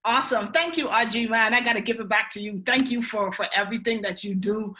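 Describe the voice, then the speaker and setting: A woman's voice: fairly high pitch, brisk, pushed and bright, slight background noise. A woman in her thirties from the Bahamas with a Bahamian accent, telling her life story on a mentorship video call in an upbeat, chatty way. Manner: upbeat, chatty, lots of "you know" and "right". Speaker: guest speaker on a mentorship call. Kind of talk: motivational personal story.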